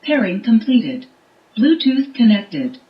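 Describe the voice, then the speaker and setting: A woman's voice: fairly high pitch, big pitch swings, slow pace, muffled recording, faint music behind. A synthetic woman's voice making short automated status announcements, played through car speakers. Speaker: voice prompt of a car Bluetooth unit.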